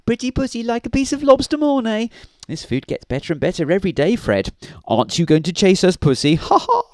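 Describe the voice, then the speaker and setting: A man's voice: sits high, sing-song, fairly quick, a little mellow, dry recording, clean studio sound, pitched above the performer's own voice. A man voicing a cheeky, greedy seagull who mocks and gloats in a children's storybook. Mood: taunting.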